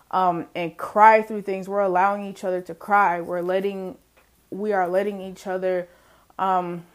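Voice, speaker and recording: Young woman doing her solo podcast, casual chatty vibe, laughs a lot. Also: medium pitch. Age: young adult. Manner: chatty, laughs often, says y'all to listeners. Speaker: podcast host.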